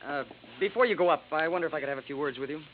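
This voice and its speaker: An actor playing a stuffy, apologetic young husband in a 1950s radio drama, formal and fussy under strain. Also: male voice, on the high side, rapid-fire, natural voice. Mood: polite. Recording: noisy muffled vintage radio recording.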